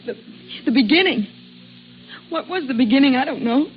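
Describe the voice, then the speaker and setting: An actress playing a guarded, bewildered, worldly woman accused of murder in a radio drama, speaking in a hesitant, refined and measured way. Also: high voice, expressive, soft background music. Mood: lost, uneasy. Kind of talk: 1950s radio drama acting. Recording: muffled, noisy old radio recording.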